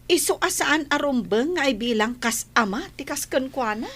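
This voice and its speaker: Woman playing a high-voiced, outspoken young friend. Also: expressive, moderate pace, a bit bright, a little hiss or hum. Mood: indignant. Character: protective, indignant young female friend, outspoken. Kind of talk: radio drama acting.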